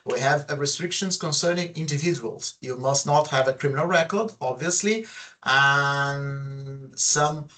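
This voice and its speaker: A man with a Brazilian accent moderating an online professional panel discussion, speaking haltingly and repeating words as he goes. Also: medium pitch, pushed and bright. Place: online video call.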